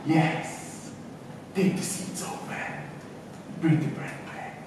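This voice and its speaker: A man voicing a sly, commanding old witch puppet, drawling in a hushed, ominous way. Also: takes it slowly, lightly acted. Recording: very echoey hall, distant mic, faint noise.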